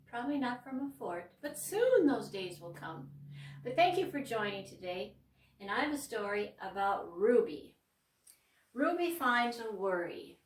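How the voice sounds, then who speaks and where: A woman's voice: mid-range voice, distant mic. A middle-aged woman with an Upper Midwest American accent, reading a picture book aloud to children in a church and stopping to explain the pictures. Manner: gentle, slow and expressive, pausing to explain pictures. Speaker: children's librarian hosting storytime. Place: church room. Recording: roomy sound, mic set back.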